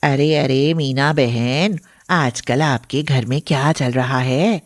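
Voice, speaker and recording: A woman playing a snobbish, gossiping middle-aged neighbour in an animated moral story, catty and mocking. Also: rumbling low, slow pace, no echo.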